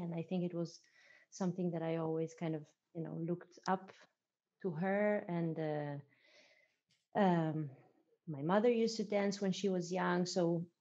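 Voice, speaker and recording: A young adult woman from Israel, a dancer being interviewed, talking reflectively with many pauses and fillers. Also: lowish voice, warm tone. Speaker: podcast guest, dancer. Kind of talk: casual conversational interview. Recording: dull lo-fi audio.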